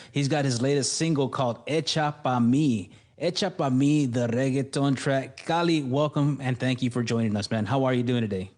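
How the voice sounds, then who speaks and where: A man's voice: medium pitch, a little mellow. A man hosting a podcast, rambling through questions with fillers and restarts. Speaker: podcast host. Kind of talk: casual interview talk.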